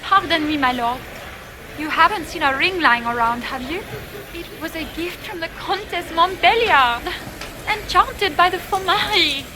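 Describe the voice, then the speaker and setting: Worried noblewoman for fantasy game: extremely high pitch, polite, pleading, formal. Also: moderate pace, rough recording. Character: anxious, polite young noblewoman at court. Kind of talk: video game dramatic acting.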